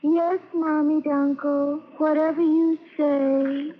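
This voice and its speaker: An actress in an old radio drama playing a sweet, naive, orphaned young woman with a breathy, tearful voice. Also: sits high, flat delivery, slow. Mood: dazed. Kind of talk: 1940s radio acting. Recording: tinny lo-fi old radio broadcast with faint hiss.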